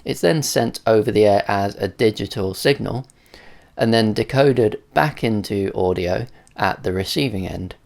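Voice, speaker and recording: A man narrating an explanatory tech video in a measured, matter-of-fact way. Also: lowish voice, creaky, darkish tone. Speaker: presenter of a radio tech video.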